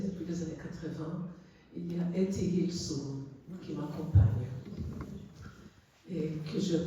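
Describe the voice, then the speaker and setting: An older woman, speaking up from the audience at a book talk in a slow, reflective, halting way. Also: on the low side, mellow. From Bulgarian family, not born in Bulgaria. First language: Bulgarian. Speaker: audience member. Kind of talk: question from the floor at a book talk. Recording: echoey hall, distant mic, faint noise.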